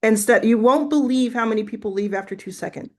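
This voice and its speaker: A woman's voice: a little high, fast, a little mellow, slight background noise. A woman hosting a casual livestream, chatty and encouraging as she gives feedback and thinks aloud. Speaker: livestream host giving business coaching. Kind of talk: casual live coaching chat.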